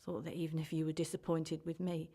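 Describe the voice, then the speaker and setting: A middle-aged woman's lowish voice, wistful and full of regret. an anxious mother confiding in a radio drama monologue. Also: level tone, fast talker, warm tone, slightly rough. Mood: wistful, regretful.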